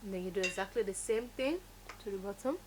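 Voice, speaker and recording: A woman's voice: mid-range voice, fast talker, noisy background. Woman teaching makeup. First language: Yoruba. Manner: instructive, emphatic, repeats key words. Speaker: makeup tutorial host. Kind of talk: step-by-step beauty tutorial.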